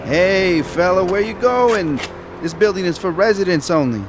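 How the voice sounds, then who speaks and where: An actor playing a brisk, officious apartment doorman in a radio drama, calling out with formal courtesy. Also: high-pitched, background noise, close to an everyday voice. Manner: polite to patrons, calling out loudly.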